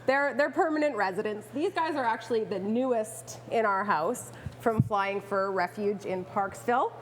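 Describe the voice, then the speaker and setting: A woman's voice: medium pitch, normal speed, slight background noise. A woman chatting casually as a guest expert in an interview, playful, enthusiastic and informal. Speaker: guest expert, pet rat owner and rescuer.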